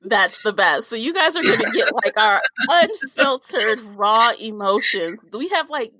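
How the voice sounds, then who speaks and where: A woman's voice: fairly high pitch, animated, measured pace, sharp tone, dry recording, rough recording. A woman podcast host chatting casually about a book in an opinionated, informal way. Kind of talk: casual book discussion chat.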